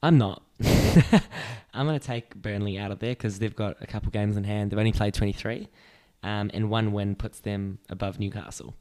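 A man's voice: fairly low pitch, fairly even intonation, moderate pace, a little mellow. A young man co-hosting a casual sports podcast, joking and laughing often as he chats. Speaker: podcast co-host.

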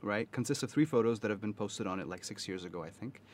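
A young man from Pakistan with a softened Pakistani English accent and an American lilt, talking casually and animatedly with many fillers. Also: fairly low pitch, fast talker, slight background noise. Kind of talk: casual podcast chat.

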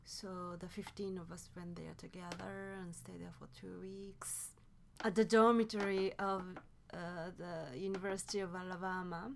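A woman's voice: lowish voice, fairly even intonation, moderate pace, gravelly, slight background noise. A woman from Japan with a Japanese accent, a native Japanese speaker, telling stories as a podcast guest in a hesitant way, often correcting herself. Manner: hesitant, self-correcting, soft asides. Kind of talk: casual conversational storytelling.

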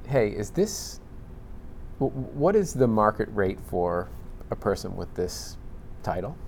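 A man's voice: on the low side, very animated, a little slow, darkish tone, a little hiss or hum. A man hosting a panel discussion, asking long searching questions in a conversational way. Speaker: podcast host.

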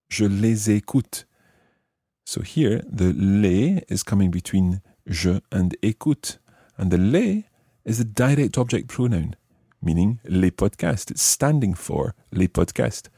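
A man hosting a language lesson, explaining in a deliberate way and repeating phrases word by word. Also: lowish voice, mellow, husky, no echo, clean studio sound. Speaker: language teacher and podcast host.

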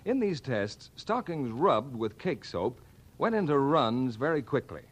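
A man's voice: mid-range voice, sing-song, unhurried, breathy edge. A man with an American accent delivering scripted 1940s radio announcements and advertising patter.

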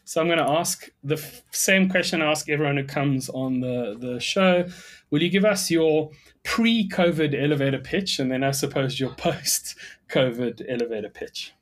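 A man's voice: fairly high pitch, moderate pace. A man hosting a podcast, introducing his guest and asking questions in a friendly, upbeat way. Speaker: podcast host. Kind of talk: interview hosting.

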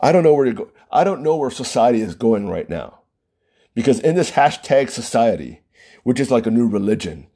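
Animated, mellow-voiced man giving opinions. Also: breathy edge. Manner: lively pitch swings, frequent "right?" and "you know", self-interrupting restarts. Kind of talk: opinionated solo commentary.

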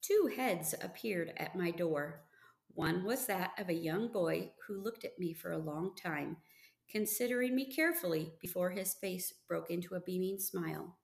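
A woman narrates a story from a script in the first person. Her voice carries noticeable creak, and the recording has an echoey, roomy sound. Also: fairly low pitch, expressive, normal speed. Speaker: audiobook reader.

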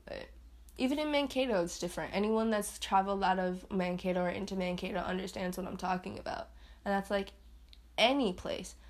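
A young woman hosting a casual solo podcast, chatty and rambling, laughing at herself and swinging into higher playful voices. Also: fairly quick. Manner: swings into high put-on voices. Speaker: podcast host.

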